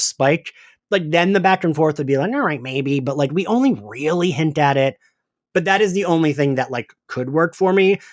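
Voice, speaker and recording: A man's voice: fairly high pitch, no echo, studio quality. A man co-hosting a podcast, chatting casually and emphatically as a fan, often slipping into mock dialogue. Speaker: podcast co-host.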